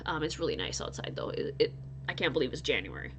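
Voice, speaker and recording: A woman's voice: low-pitched, big pitch swings, quick pace, noisy background. A married woman from North Dakota, still sniffly from illness, rambling chattily to her viewers in a casual crochet vlog. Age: adult, married. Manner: rambling, chatty, with frequent restarts and laughs. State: stuffy and recovering from illness. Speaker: crochet vlogger.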